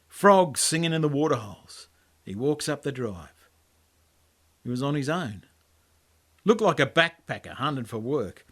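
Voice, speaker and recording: A man's voice: medium pitch, lively intonation, unhurried, long pauses, a bit bright. An Australian man reading his own story as a colloquial, laconic first-person yarn. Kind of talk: storytelling monologue, first-person character narration.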